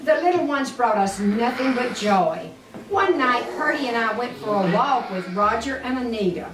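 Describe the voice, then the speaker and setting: An older woman, a grandmother, reads a children's story aloud expressively and deliberately, with doting asides to the children. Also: fairly high pitch, animated, background noise. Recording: echoey room, distant mic, music underneath.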